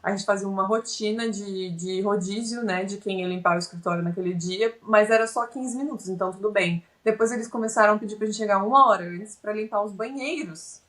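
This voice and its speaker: A woman's voice: medium pitch, a little fast, hardly any pauses, a bit bright. A woman with a Brazilian Portuguese accent, a podcast guest telling her experiences in a detailed, explanatory way. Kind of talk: casual interview storytelling.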